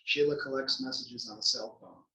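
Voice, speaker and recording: A man's voice: medium pitch, a little fast, bright, forceful tone. A man reading scripted stage directions as the narrator at a play table read. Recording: echoey room, distant mic.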